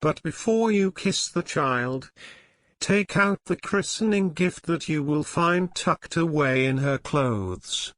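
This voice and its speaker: A man's voice: fairly high pitch, animated, takes it slowly, a bit bright, no room sound, voice pushed up. A man voicing a poor, devout father in a storybook, speaking earnestly to his family and elders. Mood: comforting, glad.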